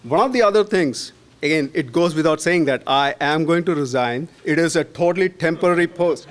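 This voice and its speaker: A man delivers an earnest candidate speech at a community meeting. His voice sits a little high for a man, and he keeps checking in with the room as he makes his case. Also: fairly quick. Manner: earnest, persuasive, often adds tag question "right?". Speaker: board candidate.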